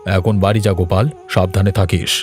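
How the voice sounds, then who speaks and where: Guy doing stern cartoon village boss, deep and measured, takes charge, music under him. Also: little pitch movement, a little mellow, natural voice. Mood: grave, cautious. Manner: measured, authoritative. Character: grave, commanding male village elder and leader. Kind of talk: Bengali fairy tale cartoon dialogue. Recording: voice over background music.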